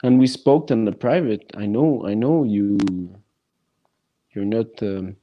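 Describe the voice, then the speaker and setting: A man who speaks English as a non-native language, hosting a casual podcast chat and talking haltingly with many restarts. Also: on the low side, muffled recording. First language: neither English nor French.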